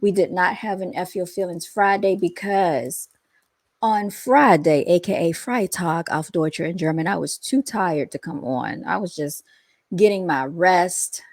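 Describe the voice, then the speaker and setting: A woman's voice: lowish voice, darkish tone, dry recording. A woman hosting her own channel and chatting casually to her viewers, her voice scratchy because she is coming down with something. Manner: chatty, drawn-out, talks straight to viewers. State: scratchy, under the weather. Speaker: host and founder of the channel.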